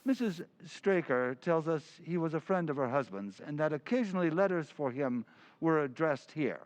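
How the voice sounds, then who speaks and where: Man playing earnest, slightly high-voiced police inspector. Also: lively intonation, moderate pace, lightly acted. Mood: matter-of-fact. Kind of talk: old-time radio drama acting.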